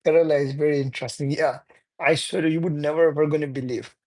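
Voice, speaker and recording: Chatty man with a higher voice. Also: steady tone, fast, darkish tone. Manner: chatty, laughs often, non-native phrasing. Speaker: livestreamer. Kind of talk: casual live-stream chat reading viewer comments.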